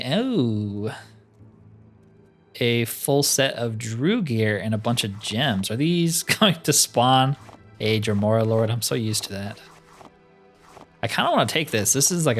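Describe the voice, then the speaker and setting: A man giving casual let's-play commentary, chatting and thinking aloud as he plays a fantasy game. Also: medium pitch. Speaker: gaming YouTuber playing a fantasy roleplay game.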